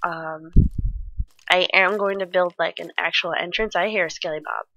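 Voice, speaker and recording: A woman's voice: fairly low pitch, fairly even intonation, moderate pace, pushed and bright, no echo, highs cut off. Congested woman chatting over a game. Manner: rambling, many ums and restarts, chatty. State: congested, stuffy nose. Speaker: Minecraft let's play creator. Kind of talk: casual gameplay commentary.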